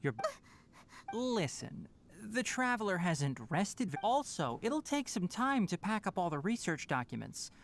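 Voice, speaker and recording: A man voicing a strict but caring young male forest ranger in a game, talking in a calm, explanatory way. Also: high voice, a little slow, a little hiss or hum, full character voice. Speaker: game character. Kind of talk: game dialogue.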